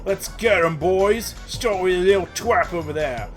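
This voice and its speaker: A man voicing rowdy, mocking male goblin guards in a fantasy game, loud and jeering. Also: sits high, unhurried, soft background music, pitched above the performer's own voice. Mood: rallying.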